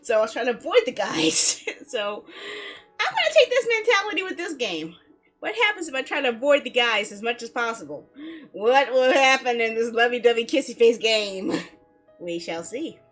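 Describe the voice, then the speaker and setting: A woman's voice: fairly high pitch, sing-song, normal speed, fairly bright tone. A woman hosting a video game livestream, chatting casually with viewers in a playful, giggly way. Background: music.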